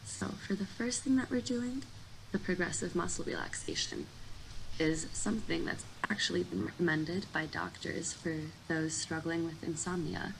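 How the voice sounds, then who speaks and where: A woman with a slightly low, crackly voice guides a sleep meditation at a very unhurried pace. She sounds breathy and soothing, giving gentle, informal instructions. There is some audible noise behind her. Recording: clear background noise.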